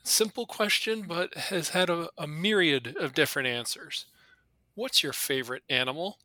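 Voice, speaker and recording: Bright, upbeat male podcast host. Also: on the high side, moderate pace, no room sound. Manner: upbeat, laughs along with the guest. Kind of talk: podcast interview.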